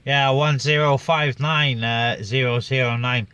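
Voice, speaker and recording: A man talks casually from inside his parked vehicle, stopping on fillers as he goes. His voice is bright and crisp. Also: medium pitch, steady tone, dry recording. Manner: chatty, with many filler pauses. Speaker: CB radio operator. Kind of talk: casual radio chat and vlog talk.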